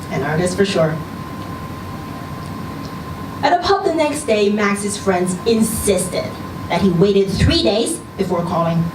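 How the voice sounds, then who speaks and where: A woman giving an expressive narration of a scripted short story at a live reading. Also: on the high side. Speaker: story reader at a live literary event. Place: indoor social venue, live reading event. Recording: roomy live venue, distant mic, noisy background.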